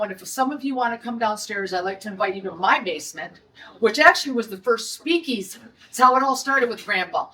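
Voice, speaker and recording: A woman's voice: a little high, fairly bright tone, far from the mic, low-quality recording. A middle-aged woman from Detroit with a Detroit accent, a restaurant owner holding a blunt, indignant press conference.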